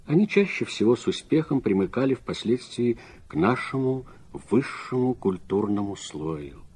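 Grave, husky philosophical man. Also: medium pitch, big pitch swings, a little mellow. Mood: grave, musing. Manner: measured, deliberate, philosophical. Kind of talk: literary radio drama monologue.

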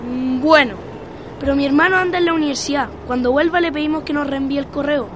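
A high-voiced performer playing an easygoing, joking young skateboarder with a Chilean accent in a radio drama. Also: fast, noisy background, natural voice. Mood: casual.

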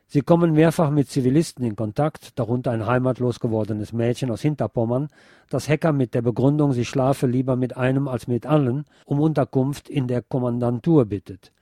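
A man hosting a radio programme, reading scripted narration in a measured, deliberate way. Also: medium pitch, dark tone, no echo, clean studio sound. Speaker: radio show host.

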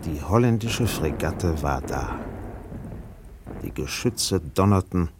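Old radio drama narrator guy, very low and slow, solemn, bit of noise on the tape. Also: expressive. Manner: measured, weighty. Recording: noisy old radio recording.

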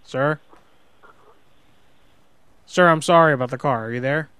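A man's voice: a little high, a bit bright, a little hiss or hum. A man playing a hot-headed, sheepish husband in an improvised prank phone call, rambling and justifying himself as he goes. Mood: stubborn, apologetic. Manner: halting, rambling, self-justifying.

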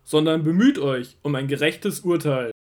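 A man playing an earnest, commanding male teacher preaching in an audio drama, declamatory and deliberate. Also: fairly high pitch, animated, natural voice. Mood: firm, challenging.

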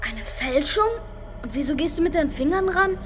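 A woman playing a naive, easygoing schoolboy sidekick in a German children's audio drama, guileless and slow on the uptake. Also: high-pitched, animated, background noise. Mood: puzzled, naive.

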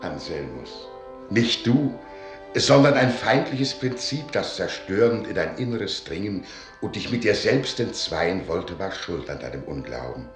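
A solemn old fire spirit, far and echoing. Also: male voice, low-pitched, very animated, heavily acted. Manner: solemn, measured. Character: solemn old salamander spirit, male archivist. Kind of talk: radio play acting. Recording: distant, echoing, with music.

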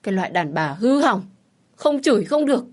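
A woman voicing a bitter older mother-in-law who berates her daughter-in-law in a high, loud voice. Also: very animated, brisk. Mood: berating. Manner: shrill, berating.

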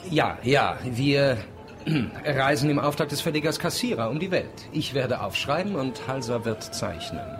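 An actor playing a sardonic travelling writer in a radio drama, caustic and clipped. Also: male voice, medium pitch, expressive, measured pace, over music, natural voice. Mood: brusque. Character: sardonic travelling writer narrating his journal. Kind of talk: radio drama narration and dialogue.

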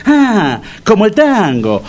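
A man's voice: sits high, huge pitch swings, slow pace, a little mellow, exaggerated voice. An actor playing a jovial, wisecracking middle-aged man with a Rioplatense accent. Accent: Rioplatense Spanish. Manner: chummy wisecracks.

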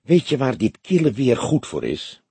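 Audiobook role: male reader as older police detective, very husky, grave, deliberate, dry wit, close dry sound. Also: medium pitch, wildly swooping pitch, warm tone, no echo, full character voice. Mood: wry. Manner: deliberate, explanatory, dryly humorous. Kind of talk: audiobook character dialogue.

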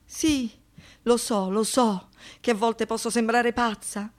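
An actress playing an anguished survivor of violence in a poetic radio monologue, speaking in halting phrases that shift between hush and outburst. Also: female voice, fairly high pitch, fairly even intonation. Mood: restless, agitated. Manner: halting short phrases, dropping to whispers, rising to outbursts.

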